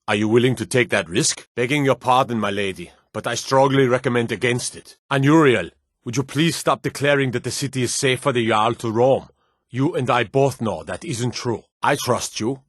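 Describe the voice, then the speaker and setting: A man voicing a stern, dutiful fantasy city guard in a video game, speaking in a gruff, formal, clipped way. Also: medium pitch, normal speed, no room sound, clean studio sound, close to an everyday voice. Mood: earnest, concerned. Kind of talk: scripted game character dialogue.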